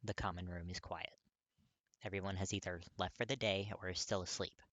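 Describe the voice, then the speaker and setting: A narrator reading fiction aloud in an expressive, emphatic audiobook style. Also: low voice, a little fast, no echo. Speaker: podfic narrator.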